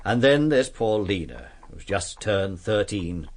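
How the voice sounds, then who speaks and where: An actor playing an earnest, kindly English vicar in a radio drama, speaking politely and precisely with some hesitation. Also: male voice, on the low side, big pitch swings, measured pace. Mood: confiding, disapproving.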